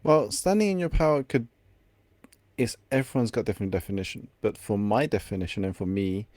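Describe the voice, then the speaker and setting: A man's voice: lowish voice, brisk, darkish tone, no echo. A young man from England whose first language is Cantonese, a confidence coach speaking reflectively as a podcast guest, sometimes searching for words. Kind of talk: podcast interview.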